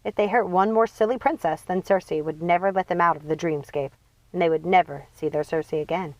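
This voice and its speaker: A woman reading a children's fantasy novel aloud as expressive scripted narration. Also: lowish voice, fairly quick, dry recording, rough recording. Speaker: audiobook reader.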